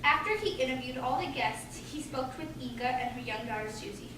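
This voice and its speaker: A woman narrating a scripted radio play with a halting amateur reading. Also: high-pitched, animated. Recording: very echoey room, distant mic, clear background noise.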